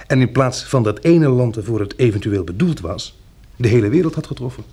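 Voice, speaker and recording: Calm protective survivor guy in a radio play, husky voice, lively pitch, reasons things out, soothing. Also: brisk. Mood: grave, reasoning. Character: calm, practical man surviving a catastrophe, tender protector. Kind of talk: radio drama acting.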